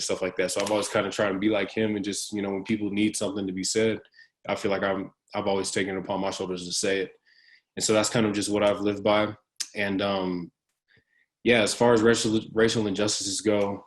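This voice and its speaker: A young man, a student athlete on a panel, speaking haltingly with frequent restarts. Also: sits low. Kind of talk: roundtable discussion.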